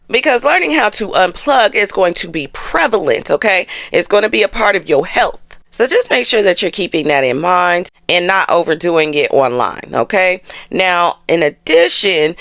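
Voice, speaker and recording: A woman's voice: medium pitch, a bit bright, no echo. An American woman hosting an informal astrology forecast, speaking colloquially and emphatically with frequent check-in tags. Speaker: host of an astrology channel.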